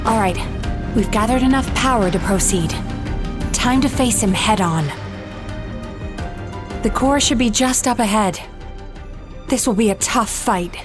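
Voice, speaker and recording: An actress playing a resolute, feisty young dream guardian in a video game. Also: female voice, a little high, a little slow, long pauses, music in the background, lightly acted. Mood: urgent, determined.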